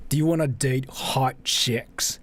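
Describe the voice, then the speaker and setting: A man's voice: mid-range voice, steady tone, slow pace, a bit bright, breathy edge. A man hosting a livestream in a casual, blunt way, thinking aloud and swearing freely. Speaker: livestream host. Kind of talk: casual livestream reaction chat.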